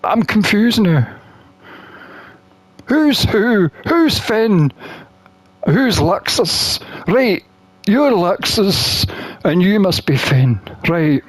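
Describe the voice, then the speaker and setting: Expressive, unhurried gamer reading aloud. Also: mid-range voice, dry recording. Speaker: gamer playing and narrating. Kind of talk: reading a visual novel aloud, voicing characters.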